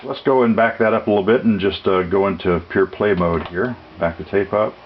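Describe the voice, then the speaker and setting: A man giving an unscripted technical demonstration as a seller, matter-of-fact and halting, with frequent uhs. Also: on the low side, fairly even intonation. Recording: dull lo-fi audio, music behind. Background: music played from demonstration equipment.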